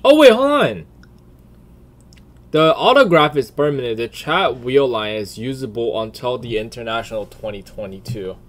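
A man's voice: medium pitch, animated, moderate pace. A man giving casual, slangy reaction commentary as a streamer, blunt and full of swearing. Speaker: streamer and video creator.

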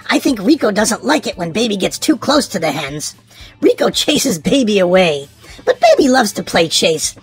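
Animated, husky woman reading a children's story. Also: medium pitch. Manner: expressive, sing-song emphasis on words. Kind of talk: animated read-aloud for young children.

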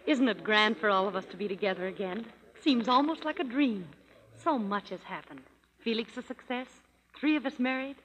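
An actress playing a tender, contented grown-up sister, earnest and wistful in 1930s radio drama style. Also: female voice, a little high, moderate pace, a bit bright, natural voice. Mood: wistful, happy. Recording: muffled, reverberant 1930s radio broadcast.

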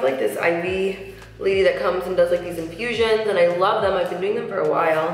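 A woman in her thirties chatting through a makeup tutorial, slightly nasal from a cold, with lots of "like" and soft asides. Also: fairly low pitch. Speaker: beauty vlogger. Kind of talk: casual makeup tutorial chat. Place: large tiled bathroom, indoors. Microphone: camera mic at close range, seated facing camera. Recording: echoey bathroom with faint music.

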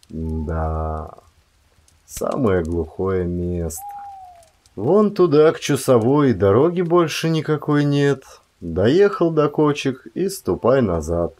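A man's voice: fairly low pitch, darkish tone. A man playing a seasoned forest warden in an audiobook, folksy and grumbling, with a drawling way of talking.

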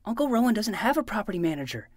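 A woman's voice: medium pitch, very animated, racing, no echo, studio quality. A woman voicing an earnest, bossy twelve-year-old boy who talks like a melodramatic hard-boiled detective in a fiction podcast. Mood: urgent, bossy.

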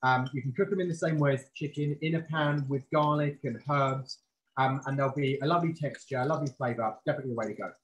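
A male chef gives a live cooking demonstration over a video call. He explains things informally and clearly step by step, standing back from the camera in a professional kitchen. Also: mid-range voice, quick pace, rough recording.

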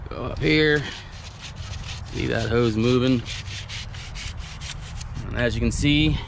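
A man's voice: medium pitch, slow pace, vocal fry, background noise. A man explaining a trailer repair outdoors in a plain-spoken, hands-on way, with frequent fillers, recorded on a body-worn camera. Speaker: truck repair technician. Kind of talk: hands-on technical demonstration. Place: outdoor gravel lot by trailers.

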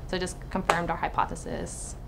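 A woman's voice: fairly low pitch, little pitch movement, brisk, loud music behind the voice, poor audio. A woman in her twenties from Texas, a biology student talking informally about research in an interview. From Texas, United States. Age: 20s. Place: indoors in a laboratory.

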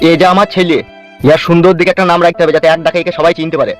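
Man playing blustering crude village politician dad, cartoon comedy, high-pitched, very fast, exasperated. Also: little pitch movement, faint music behind, close to an everyday voice. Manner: blustering, grumbling.

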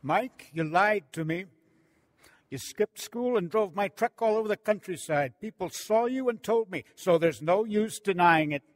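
A man's voice: high voice, animated, moderate pace, no room sound. A male actor playing a stern, unyielding farmer foster father, curt and authoritative, in a radio drama. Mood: accusing. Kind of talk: scripted radio drama dialogue.

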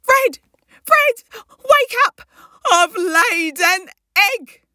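A woman's voice: on the high side, very animated, a little slow, pushed and bright, dry recording, studio quality, voice pushed up, exaggerated voice. A woman voicing a devoted, affectionate mother dinosaur who gushes in a children's storybook. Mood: urgent, thrilled. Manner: gushing, affectionate.

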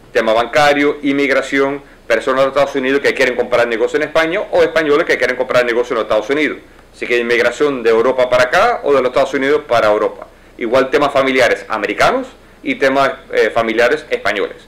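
A man's voice: mid-range voice, fairly bright tone. A man in his forties, a lawyer, giving fluent, explanatory answers in a seated interview in an office. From United States. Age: 40s. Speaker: lawyer and judicial candidate. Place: wood-paneled office, indoors.

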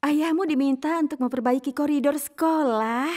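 A woman's voice: sits high, normal speed, darkish tone, close to an everyday voice. A woman voicing a kind, polite deer who teaches kindergarten, in a cartoon dub. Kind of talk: animated cartoon dub acting.

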